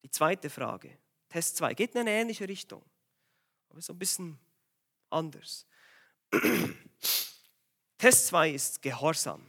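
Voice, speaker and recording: Male preacher, voice a bit worn from a cold, bright and forceful, urgent exhortation. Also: a little high, sing-song, slow pace, long pauses. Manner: urgent, exhorting, asks the congregation direct questions. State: has a cold, voice a bit strained. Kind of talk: sermon.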